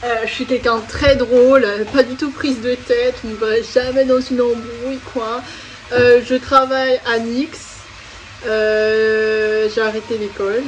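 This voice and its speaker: A woman's voice: on the high side, little pitch movement, a little slow, slight background noise, poor audio. A woman in her twenties from France chatting casually while she cooks, rambling with lots of fillers. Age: 20s. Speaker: guest cook. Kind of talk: casual cooking vlog. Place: home kitchen.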